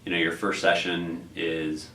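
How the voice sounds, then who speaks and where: Man, low voice, unhurried explainer full of fillers; roomy, distant recording with faint hiss. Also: flat delivery, sharp tone. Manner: rambling, many fillers and restarts. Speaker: tutoring program coordinator. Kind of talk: explaining a process in an interview. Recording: echoey room, distant mic, faint noise.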